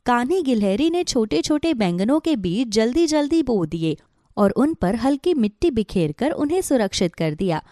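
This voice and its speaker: Animated female children's story narrator. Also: fairly high pitch, normal speed, dry recording, clean studio sound. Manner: expressive storytelling.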